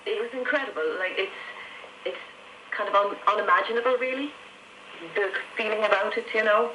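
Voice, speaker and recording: A woman's voice: mid-range voice, fairly even intonation, brisk, sharp tone. An Irish woman in her fifties telling her own story conversationally as an interview guest, over shortwave radio. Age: 50s. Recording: noisy shortwave radio reception. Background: faint radio static.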